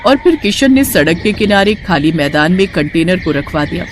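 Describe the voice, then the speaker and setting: A woman's voice: fairly low pitch, lively intonation, moderate pace, slight background noise. A woman reading scripted narration for a moral cartoon story.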